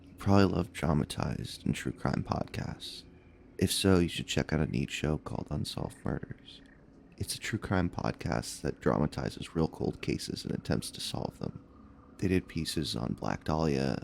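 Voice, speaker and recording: A man's voice: very deep voice, gravelly, a little mellow, slight background noise. A man reading scripted sponsor spots as a podcast announcer. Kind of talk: scripted ad read.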